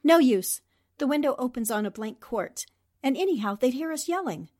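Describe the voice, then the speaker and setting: An actress playing a resolute, quick-witted newspaperwoman in a 1940s-style radio drama. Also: sits high, expressive, darkish tone. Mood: urgent, resolute. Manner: decisive, urgent instructions. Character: Anne Rogers, quick-thinking, resolute newspaperwoman heroine.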